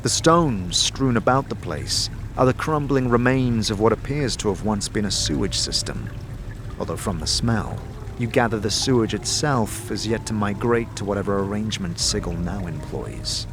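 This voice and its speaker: A man giving scripted fantasy narration in a dramatic, measured storytelling manner. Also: on the low side, expressive. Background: music.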